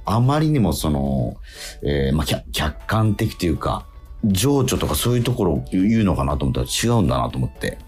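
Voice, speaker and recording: A man hosting a casual radio talk, posing quiz questions, haltingly repeating himself and slowing down to stress key phrases. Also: a bass voice, sing-song, darkish tone, slightly rough, faint music behind.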